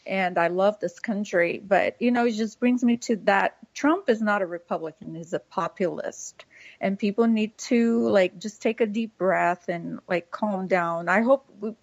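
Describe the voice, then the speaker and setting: A woman's voice: mid-range voice, a little slow, no room sound, highs cut off. A woman from Brazil, co-hosting a podcast, chatting casually about politics with plenty of fillers. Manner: chatty, rambling, full of fillers and laughs. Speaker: podcast co-host.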